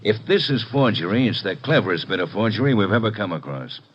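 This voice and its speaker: An actor playing a gruff, skeptical male police inspector in a 1950s radio drama, blunt and matter-of-fact. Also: on the low side, expressive, quick pace, a little hiss or hum, natural voice. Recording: muffled 1950s radio broadcast.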